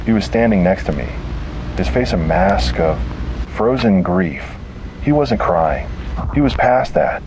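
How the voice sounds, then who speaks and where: A man's voice: sits low, very animated, unhurried, noisy background. A man narrating a scripted first-person detective horror story.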